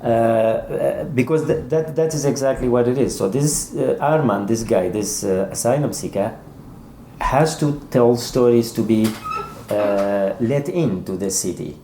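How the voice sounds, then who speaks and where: A man speaking as an author in a literary interview, thoughtful and halting, often repeating words as he searches for the next phrase. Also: medium pitch, dark tone, a little hiss or hum. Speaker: author interviewed about his novel.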